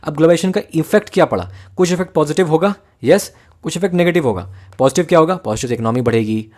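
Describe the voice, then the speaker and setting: A man with an Indian accent giving a fast, conversational exam-prep lecture, often checking that students follow. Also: on the high side, lively intonation, darkish tone. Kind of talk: lecture mixing Hindi and English.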